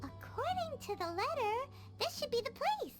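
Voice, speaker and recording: A woman voicing a tiny, excitable, cheeky fairy companion with a squeaky childlike voice in a video game. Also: normal speed. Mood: curious. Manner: refers to self in third person, exclamatory. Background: background music.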